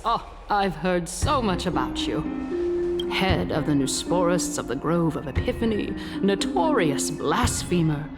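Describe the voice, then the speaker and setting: An actress playing a scheming noblewoman who leads a council in a fantasy game, courtly, poised and theatrical. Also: on the low side. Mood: mock courteous. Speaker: voice actor. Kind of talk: scripted fantasy game dialogue. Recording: loud game music behind the voice.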